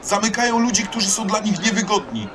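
Man as young townsman, high voice, bitter, argumentative, audio drama acting, clear background ambience noise. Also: sing-song, a little fast, a bit bright. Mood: indignant. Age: young adult.

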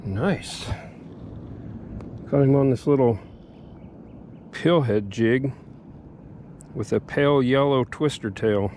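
A man's voice: mid-range voice, slow, long pauses, a little hiss or hum. A middle-aged man hosting a fishing vlog, talking casually from a small boat on a lake and explaining step by step how he rigs his bait. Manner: relaxed, explains step by step, excited bursts at catches. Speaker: fishing channel host. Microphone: head-mounted action camera.

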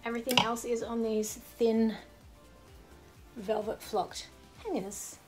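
An upbeat Australian woman in her thirties chats through her routine in a casual vlog. Her voice sits a little high, and faint music plays underneath. She is recorded in a small bedroom on a camera nearby. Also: fairly even intonation, takes it slowly. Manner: upbeat, chatty, practical. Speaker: home lifestyle vlogger. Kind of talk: casual chatty vlog talk. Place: small bedroom by a wardrobe, indoors.

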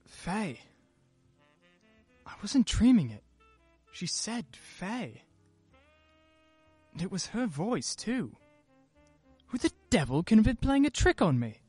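An actor playing a troubled, irritable well-to-do husband in a radio play, clipped and indignant. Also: male voice, high-pitched, sing-song, takes it slowly, long pauses, a little mellow, slightly rough, soft background music, full character voice. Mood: shaken.

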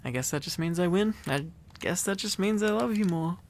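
A man's voice: high-pitched, fairly quick, a little hiss or hum. A man doing a boyfriend ASMR roleplay in a playful, teasing, affectionate way, rambling intimately as a needy, silly boyfriend close to the microphone.

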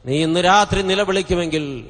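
Middle aged priest guy preaching hard, really high voice, repeats phrases for punch, big echoey hall pa. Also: moderate pace, a bit bright. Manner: impassioned, exhorting, emphatic with repeated phrases. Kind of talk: fervent revival sermon. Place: convention stage at a podium. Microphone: stand microphone close to mouth. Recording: reverberant hall sound system.

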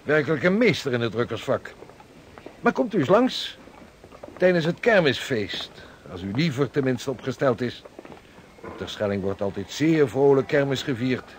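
A man plays a hearty seasoned sea captain in a radio drama. His middle-pitched voice is jovial and hospitable, with formal, old-fashioned turns of phrase. Faint ambient noise sits behind him. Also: sing-song, moderate pace, close to an everyday voice. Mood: jovial, inviting. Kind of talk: Dutch radio drama acting.

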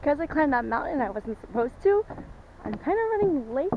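A woman's voice: high voice, very animated, a little fast, gravelly. A young woman vlogging on a rocky trail, chatting to a camera held at arm's length. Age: young adult. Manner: chatty, talking to a handheld camera while walking. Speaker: travel vlogger. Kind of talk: casual travel vlog. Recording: muffled outdoor camera audio with wind noise.

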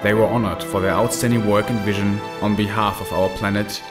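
A man with a fairly low voice reads a documentary script in a measured, even way. Loud background music plays under his narration throughout. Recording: voice-over with loud music behind.

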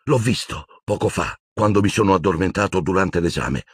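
A man's voice: deep voice, moderate pace, breathy edge, no room sound. A man voicing an intense, hot-headed teenage boy in an audiobook, urgent, defiant and argumentative. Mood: urgent, shaken.

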